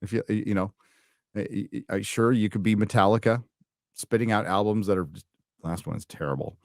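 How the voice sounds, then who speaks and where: A man's voice: fairly low pitch, normal speed, creaky, dry recording, clean studio sound. A middle-aged man hosting a casual live show, laid-back and swearing freely, reading donations and laughing often as he talks. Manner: laughs often mid-sentence. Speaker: podcast host. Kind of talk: casual live-stream chat.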